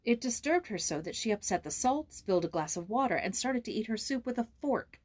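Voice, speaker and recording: A woman reading a children's story aloud in expressive, scripted narration as an audiobook reader. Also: on the high side, fairly quick.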